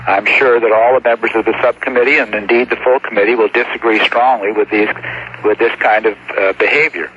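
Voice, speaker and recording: An American man, a congressman, giving an unscripted press comment in a halting, hesitant way. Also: on the low side, fairly even intonation, fairly bright tone. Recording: muffled, noisy news actuality.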